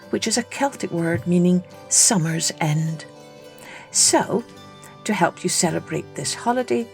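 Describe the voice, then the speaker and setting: A woman storyteller reads for children in a fairly low voice. Her delivery is very slow, deliberate and expressive. Quiet music runs underneath. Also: creaky. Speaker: storytelling podcast host.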